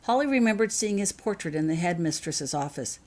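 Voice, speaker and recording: A woman's voice: lowish voice, expressive, fairly quick, a little mellow. A woman reading her own scripted fiction aloud as narrator.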